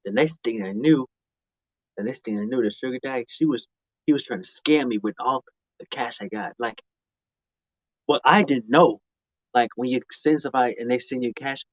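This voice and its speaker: A man's voice: mid-range voice, no room sound, highs cut off. A man in his mid-twenties chatting casually to viewers on a live stream outdoors, rambling and often repeating or restarting his phrases.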